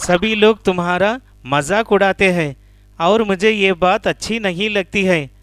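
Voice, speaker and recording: A man voicing a clever, caring deer in an animal fable, speaking in a calm, advising manner. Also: sits high, measured pace, a little hiss or hum. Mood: patient, advising.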